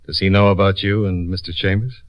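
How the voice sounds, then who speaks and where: An American actor playing a probing, matter-of-fact insurance investigator in a 1950s radio drama, with clipped, direct delivery. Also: male voice, deep voice, little pitch movement, a little fast, no echo, close to an everyday voice. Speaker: lead actor and narrator.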